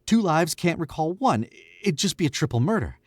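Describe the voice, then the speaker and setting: A man's voice: on the high side, very animated, fairly quick, no room sound, clean studio sound. An actor playing a nervous young male murderer in a 1940s-style radio play, stammering and pleading. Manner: stammering, pleading, self-justifying.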